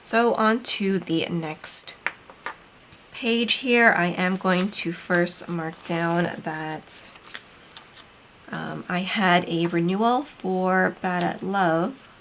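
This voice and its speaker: A woman from the Philippines casually narrating as she decorates a planner, chatty and relaxed, with frequent ums. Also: fairly low pitch, very slow, a little hiss or hum. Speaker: planner vlogger and shop owner.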